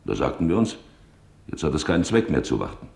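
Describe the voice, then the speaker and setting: Radio feature casting: sombre witness, extremely low voice, sober delivery, roomy echoing sound. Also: male voice, sing-song, fast talker, creaky, darkish tone, exaggerated voice. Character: sombre male witness, helper of a family in hiding. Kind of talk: scripted testimony in a radio feature.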